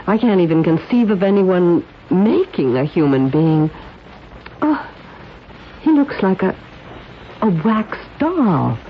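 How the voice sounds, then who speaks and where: An actress playing a haughty, skeptical wronged wife with a theatrical manner in a radio drama. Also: female voice, on the low side, sing-song, takes it slowly, pauses often, exaggerated voice. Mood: shaken, disbelieving. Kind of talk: 1970s radio drama acting. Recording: dull, hissy old radio recording.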